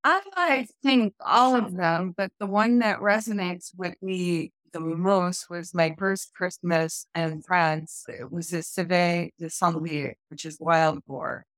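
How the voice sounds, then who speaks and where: A woman's voice: on the low side, takes it slowly, few pauses, a bit bright, no echo, rough recording. A woman in her fifties from the United States, telling personal stories as an author being interviewed. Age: 50s. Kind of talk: conversational storytelling interview.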